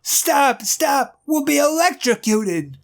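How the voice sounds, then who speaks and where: A man's voice: very high voice, very animated, takes it slowly, voice pushed up, heavily acted. A man voicing a slick, scheming male lobbyist in a comic dub, curt and cowardly. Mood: panicked. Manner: curt, cowardly when threatened.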